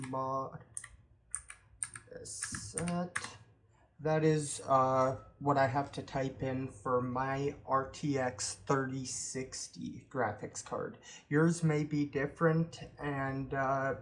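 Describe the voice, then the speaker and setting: A man hosting a tech tutorial, calmly explaining each step of a computer walkthrough with occasional ums. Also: medium pitch, slight background noise. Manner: unhurried step-by-step explaining with fillers. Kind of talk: screen-recorded how-to walkthrough.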